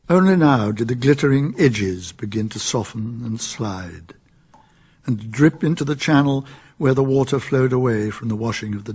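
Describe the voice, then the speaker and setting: A British man narrating a literary radio drama in a husky voice, solemn and measured. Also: medium pitch, darkish tone. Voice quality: husky, creaky.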